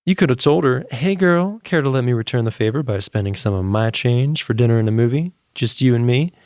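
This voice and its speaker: A man's voice: mid-range voice, expressive, a little fast, a little mellow, no room sound, clean studio sound, close to an everyday voice. A man voicing a teasing, sarcastic uncle in a narrated story, with wisecracking delivery. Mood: teasing, smooth-talking. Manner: wisecracking, needling.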